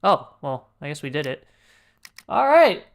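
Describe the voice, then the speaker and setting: A man's voice: fairly high pitch, big pitch swings, a little slow, gravelly. A man livestreaming a game with casual, joking commentary, reacting off the cuff. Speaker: streamer. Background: game music.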